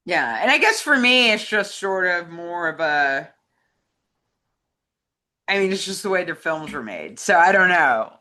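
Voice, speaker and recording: A woman's voice: fairly low pitch, very animated, measured pace, long pauses, pushed and bright. A woman co-hosting a casual conversation and reading out questions from fans. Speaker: co-host reading fan questions.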